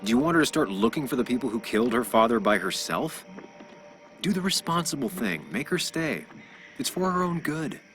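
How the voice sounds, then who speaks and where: A man's voice: mid-range voice, sing-song, a little fast, fairly bright tone, soft background music. An actor playing a man's moralising imaginary double in a video game, urging and admonishing. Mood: urgent, admonishing. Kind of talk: video game dramatic acting.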